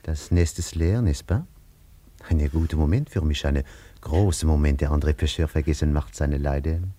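An actor playing a flattering French seducer in a radio drama, effusive and theatrical, with a French accent and a deep, dark voice. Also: male voice, moderate pace, husky. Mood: wooing, flattering. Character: flattering French charmer wooing a woman.

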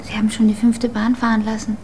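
An actress as a mellow-voiced, terse young woman, high-pitched. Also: monotone, quick pace, slight background noise, close to an everyday voice. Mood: tentative. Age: young adult. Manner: terse, sullen. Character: weary, defiant young daughter tired of job hunting. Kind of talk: naturalistic German radio drama acting.

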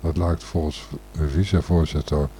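A man hosts an informal news talk show. His voice is very deep and heavily dark, with a husky edge. He rambles, searches for words and freely gives his opinion. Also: fairly even intonation, measured pace. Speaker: radio and podcast host. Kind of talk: informal radio commentary on news.

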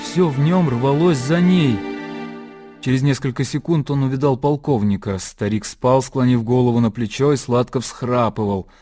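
A man's voice: medium pitch, moderate pace, mellow. Male literary narrator over music. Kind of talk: dramatic literary narration for a radio play.

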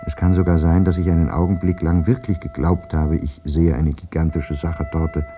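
An actor playing a haunted, war-maimed soldier who recalls the war in a reflective, self-questioning radio drama monologue. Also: male voice, sits low, level tone, moderate pace, mellow, close to an everyday voice. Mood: brooding, wondering. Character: war-maimed soldier brooding over his memories, haunted, plain-spoken. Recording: muffled radio play recording with music. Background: music.

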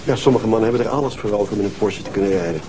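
A man's voice: lowish voice, racing, noisy background, natural voice. A man acting a cynical, impulsive younger detective with a colloquial delivery in a TV crime drama. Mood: cynical, disgusted. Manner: colloquial, sardonic. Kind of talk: naturalistic TV crime drama dialogue.

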